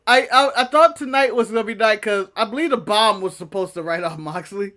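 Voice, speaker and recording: Male co-host, chatty and comedic, bright, sharp-edged voice. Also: high voice, brisk. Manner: joking, animated, laughs often. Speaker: podcast co-host. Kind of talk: casual wrestling review chat.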